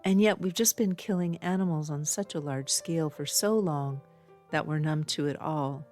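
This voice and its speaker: Low-voiced middle-aged woman, earnest. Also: moderate pace, darkish tone, soft background music. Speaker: podcast host. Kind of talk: personal letter read aloud.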